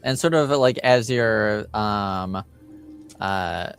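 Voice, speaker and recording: A man improvising narration as game master of a tabletop roleplaying game, halting as he searches for words. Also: lowish voice.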